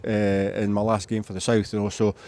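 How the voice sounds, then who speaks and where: A man's voice: lowish voice, flat delivery, brisk, a little mellow. A man speaking as a rugby head coach in a casual pre-match interview.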